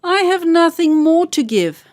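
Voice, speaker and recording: Woman playing an anxious young queen, high-pitched. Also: animated, unhurried, darkish tone, no room sound, studio quality. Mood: despairing. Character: anxious young miller's daughter turned queen.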